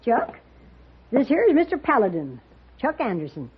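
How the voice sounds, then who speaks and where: An actress playing a plainspoken, bossy but hospitable frontier boardinghouse matron with a rural Western American accent in a radio drama. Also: female voice, fairly high pitch, huge pitch swings, moderate pace, slightly rough, exaggerated voice. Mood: brisk, folksy. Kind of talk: 1950s radio drama acting. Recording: muffled vintage radio recording.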